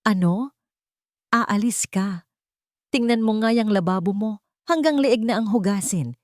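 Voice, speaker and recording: A woman's voice: mid-range voice, a little slow, dry recording, clean studio sound, voice pushed up, close to an everyday voice. A woman with a Filipino accent voicing a grumpy, bossy female eatery owner who scolds sharply in a story. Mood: scolding.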